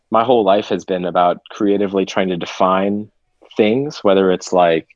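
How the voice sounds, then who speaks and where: A man's voice: sits low, no echo. A man from Seattle, a podcast guest talking candidly and reflectively, sometimes swearing in casual conversation. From Seattle, USA. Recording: dull, lo-fi remote audio.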